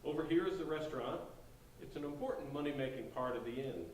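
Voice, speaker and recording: A man's voice: medium pitch, quick pace, a little mellow, close to an everyday voice. A man playing a courteous, folksy real estate agent giving a sales tour in a staged play reading. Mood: courteous, selling. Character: polite Southern real estate agent showing an old inn. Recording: echoey hall, distant mic, faint noise.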